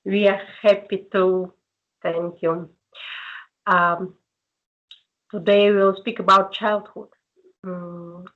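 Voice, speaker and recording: A woman's voice: medium pitch, level tone. Woman on a tinny video call line. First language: Russian. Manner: hesitant, halting, many fillers. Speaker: conversation club host. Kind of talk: casual online group chat.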